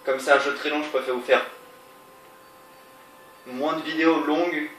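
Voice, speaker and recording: A man's voice: on the high side, fairly even intonation, moderate pace, a bit bright, slight background noise. A man with a stuffy nose from a cold, giving rambling, hesitant commentary on a game he is playing, with many fillers and restarts. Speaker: let's play gamer. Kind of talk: casual gameplay commentary. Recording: roomy, distant mic, game music behind. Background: game music.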